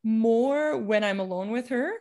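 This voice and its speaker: A woman whose first language is French speaks in an animated, chatty way, her sentences full of fillers and restarts. She sounds relaxed and friendly in casual talk. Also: fairly high pitch, a little slow. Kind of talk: casual conversation.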